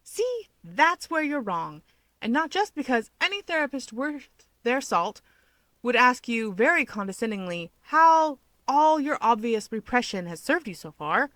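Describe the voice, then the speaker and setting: A woman's voice: on the high side, big pitch swings, unhurried, a bit bright, no echo, voice pushed up. A woman voicing a cocky, insistent young male hero from a fan story, with a taunting, emphatic delivery. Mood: exasperated, taunting.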